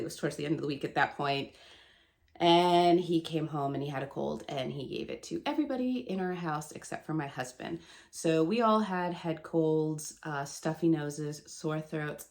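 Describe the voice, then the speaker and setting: A woman in her thirties chatting casually to camera as a book vlogger, her voice still gravelly and nasal from a cold, rambling and laughing often. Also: low voice, moderate pace. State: hoarse and stuffy from a cold.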